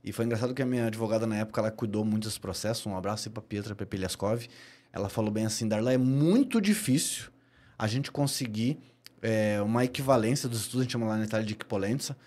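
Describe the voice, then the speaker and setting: A man's voice: on the low side, quick pace, a little mellow. A middle-aged Brazilian man telling stories as a podcast guest, emphatic and given to repeating key phrases. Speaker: podcast guest, personal trainer. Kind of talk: animated storytelling in a podcast interview.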